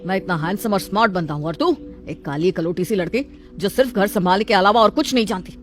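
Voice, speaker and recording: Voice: mid-range voice, quick pace, soft background music, natural voice. A performer voicing an arrogant, scornful young husband who talks harshly and haughtily in a storybook drama.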